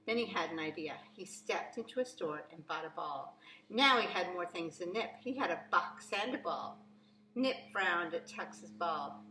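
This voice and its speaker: Female storybook reader for young children. Bright, crisp-edged delivery, emphatic and expressive. Roomy sound with faint noise. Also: mid-range voice. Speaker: preschool teacher reading aloud. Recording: echoey room, faint background noise.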